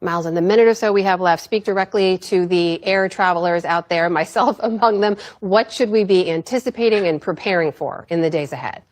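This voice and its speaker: A woman with a fairly low voice works as a news anchor. Her delivery is polished and articulate, in the style of a broadcast interview. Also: brisk, hardly any pauses.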